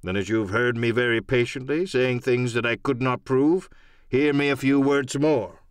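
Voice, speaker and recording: A man's voice: mid-range voice, moderate pace, clear, resonant tone, no echo, close to an everyday voice. A stern, middle-aged sea captain, acted by a man for an audio adventure play. He speaks bluntly and formally in clipped phrases, plain and dutiful, with a close, dry sound. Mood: firm, insistent. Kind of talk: audiobook radio-play acting.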